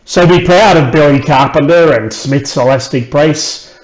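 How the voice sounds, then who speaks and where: Aussie guy reading a kids poem out loud, slightly high voice, tells it like a yarn with expression. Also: a little slow, poor audio. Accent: Australian. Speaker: author reading own children's book. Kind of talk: rhymed storybook narration.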